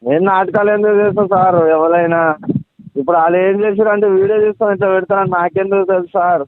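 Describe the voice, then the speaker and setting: A man's voice: steady tone, fast talker, dry recording. A man with a Telangana accent talking on the phone in a deferential, defensive, pleading way. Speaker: prank call target. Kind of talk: phone conversation. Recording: tinny low-fi phone line.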